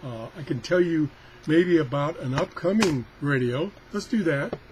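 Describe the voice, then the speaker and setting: Higher-voiced man rambling through a gadget demo. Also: lively intonation, normal speed, a little mellow, a little hiss or hum, poor audio. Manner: rambling, thinking aloud. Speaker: video reviewer. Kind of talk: casual hands-on product demonstration. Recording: weather radio chattering in the background.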